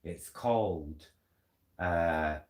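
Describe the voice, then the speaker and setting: A man's voice: low voice, very slow, darkish tone. A British man chatting casually in a rambling, hesitant way, as a vlogger talking at home. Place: indoors at home. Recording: echoey room.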